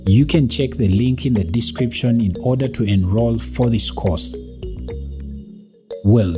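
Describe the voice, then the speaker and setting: A man who hosts a channel, reading a scripted narration of facts in a measured, teaching tone. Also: mid-range voice. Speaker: channel host. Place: voice-over with background music.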